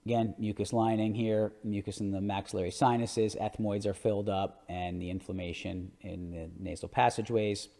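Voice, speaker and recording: A man's voice: on the low side, flat delivery, moderate pace, few pauses, dark tone. A man giving an educational podcast lecture, explaining images in a conversational way with emphatic asides. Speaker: orthodontist hosting an educational podcast. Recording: long hall-like reverb.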